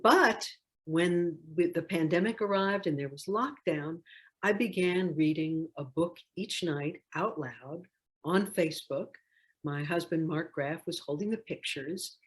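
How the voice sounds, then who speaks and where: A woman's voice: fairly low pitch, a little slow. An older woman, a children's author, talking personally in a reflective, conversational way and leaning on key words for emphasis. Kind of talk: personal talk introducing a read-aloud.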